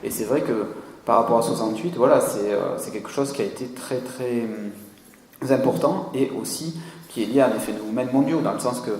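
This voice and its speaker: A man answering questions at a conference, often pausing to search for his words. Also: medium pitch, darkish tone. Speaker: conference speaker. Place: conference hall. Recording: echoey hall with faint room noise.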